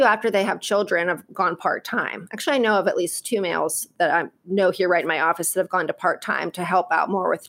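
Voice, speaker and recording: A woman guest in a casual interview, speaking directly and candidly in quick bursts, often saying "like" and "you know". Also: medium pitch, gravelly, fairly bright tone. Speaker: guest, operations executive.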